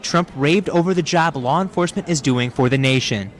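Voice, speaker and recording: A man's voice: a little high, brisk. A man working as a television news reporter, delivering scripted reports and stand-ups.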